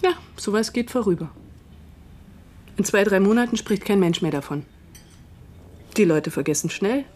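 A woman's voice: medium pitch, lively intonation, normal speed, long pauses, a little mellow, slight background noise, lightly acted. An actress in a German radio drama playing a cutting, sarcastic, middle-aged mother who defiantly shields her son. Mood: coolly calming.

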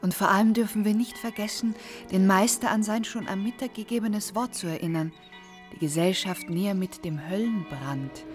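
A woman's voice: mid-range voice, normal speed, soft background music, lightly acted. A woman playing an eager, genteel salon lady in a German radio play, speaking in a formal, mannered way.

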